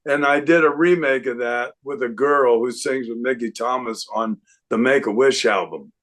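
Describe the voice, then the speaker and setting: An older man, a guest singer, chatting casually in an unhurried, rambling way with lots of fillers. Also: medium pitch, fairly bright tone. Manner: breaks into sung snippets. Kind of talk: casual interview chat.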